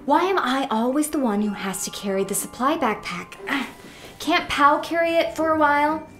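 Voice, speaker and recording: A woman's voice: high-pitched, animated, moderate pace, a bit bright. A woman playing an earnest, conscientious starship doctor in a comedic sci-fi radio play, precise and easily exasperated.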